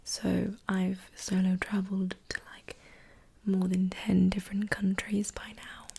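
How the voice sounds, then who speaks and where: Young woman whispering asmr, kinda slow n rambly, bit of fry in her soft breathy voice. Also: mid-range voice, monotone. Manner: casual, rambling. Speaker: ASMR video host. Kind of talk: soft-spoken ASMR chat.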